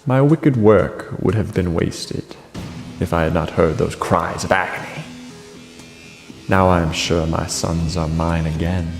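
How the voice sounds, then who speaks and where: A man playing a cruel, vengeful king in a classical verse tragedy, gloating deliberately and theatrically in a gravelly voice. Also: low voice. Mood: triumphant. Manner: deliberate, theatrical, savouring each phrase. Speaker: stage actor. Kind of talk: classical verse tragedy acting, declaimed monologue. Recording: long reverb, guitar music underneath.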